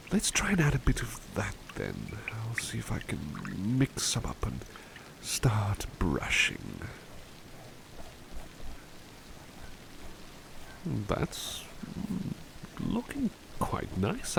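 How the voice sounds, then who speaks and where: A man playing a relaxed, courteous butler in an ASMR roleplay, polite and hesitant as he muses to the listener. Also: mid-range voice, creaky, background noise, exaggerated voice. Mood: pleased, gentle. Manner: genteel, polite, hesitant, musing. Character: relaxed, courteous male butler who paints. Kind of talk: ASMR roleplay, one-sided chat with listener.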